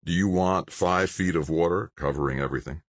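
A man's voice: low-pitched, brisk, no echo. A man voicing a grim male state environmental official who mutters.

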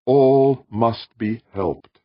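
A man voicing a calm, ancient alien being who speaks in a flat, intoning way. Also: mid-range voice, extremely slow, no room sound, voice pushed up. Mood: solemn. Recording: dull dry recording.

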